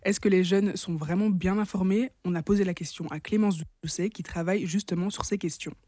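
A woman presents as a podcast co-host in a soft, mellow tone. Her delivery is scripted and articulate, leaning on rhetorical questions. The sound is dry, with no room around the voice. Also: lowish voice, fairly even intonation, brisk, no room sound.

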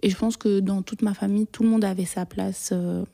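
A young woman answers personal questions in an interview. She sounds shy and hesitant, restarting her sentences and filling pauses. Her voice is mellow and dark, and her pitch barely moves. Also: fairly even intonation, vocal fry. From Belgium.

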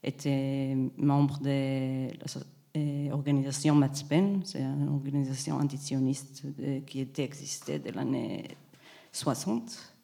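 A woman's voice: a bass voice, little pitch movement, measured pace, vocal fry, mellow. A woman from Israel, whose first language is not French, giving a hesitant interview answer and searching for words. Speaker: guest.

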